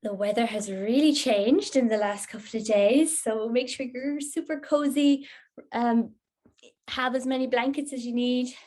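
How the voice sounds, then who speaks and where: Gentle woman guiding relaxation. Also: on the high side. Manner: gentle, unhurried, soothing. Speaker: yoga nidra teacher guiding a class. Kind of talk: guided relaxation instruction.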